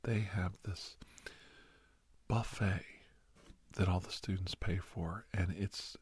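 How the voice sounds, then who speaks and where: A man playing a kindly older doctor in an ASMR roleplay, reminiscing and reassuring in a soft, breathy, gravelly whisper-talk. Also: low voice, fairly even intonation, full character voice. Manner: slow, rambling, gentle, chuckling storyteller.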